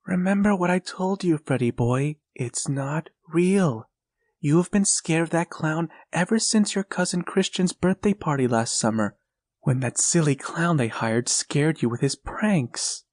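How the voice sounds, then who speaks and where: A soft, hushing mother comforts her child in a raised, gentle voice, as a man reads her part. Her tone grows weary and patient, and the recording is dry and close. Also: on the high side, measured pace, dry recording, voice pushed up. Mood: soothing. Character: tired, soothing young mother. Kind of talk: storybook reading.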